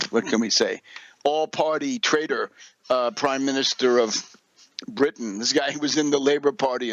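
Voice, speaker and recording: A man with a fairly high voice delivers off-the-cuff political commentary in a dry, close sound. He is combative and sarcastic, leaning on rhetorical questions. His delivery halts and doubles back as he corrects himself. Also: fairly bright tone, dry recording, highs cut off.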